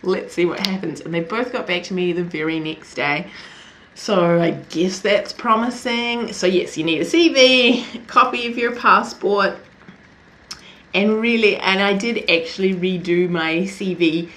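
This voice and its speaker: A woman's voice: medium pitch, a little slow, fairly bright tone. A young woman from New Zealand with a Kiwi accent, vlogging to camera in a chatty way, often laughing at herself. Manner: chatty, laughs often, self-deprecating asides. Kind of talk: casual talk to camera.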